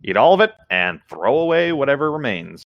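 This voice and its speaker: A man's voice: mid-range voice, sing-song, a little fast, no echo, lo-fi sound. A man co-hosting a casual reading of a story, adding chatty asides as he goes. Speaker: co-host reading a story aloud with commentary.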